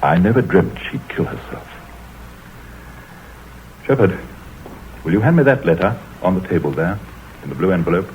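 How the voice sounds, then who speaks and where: An actor playing an anxious, wealthy middle-aged gentleman who speaks in a tense, hesitant way in an old radio drama. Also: sits low, very animated, normal speed, long pauses. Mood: fearful. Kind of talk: 1930s radio drama acting. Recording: scratchy tinny old radio broadcast.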